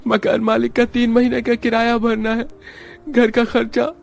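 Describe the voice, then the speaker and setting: A man's voice: extremely high pitch, completely flat delivery, moderate pace, vocal fry. A man playing a poor, anxious young labourer and dutiful husband in a cartoon story. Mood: tearful despair.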